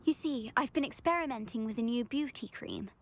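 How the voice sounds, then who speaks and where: A woman's voice: fairly high pitch, expressive, brisk. A woman voicing a vain, scheming teenage schoolgirl in a video game, with a pompous, genteel British delivery. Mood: grand, persuasive. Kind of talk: video game character acting.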